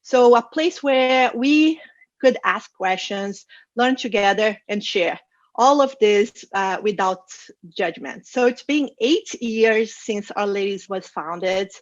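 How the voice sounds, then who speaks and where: A woman's voice: medium pitch, slow, no echo. Brazilian-accented woman presenting, slightly dull audio. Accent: Brazilian Portuguese. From Rio de Janeiro, Brazil. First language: Portuguese. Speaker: conference speaker, data science manager. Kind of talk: informal conference presentation over slides.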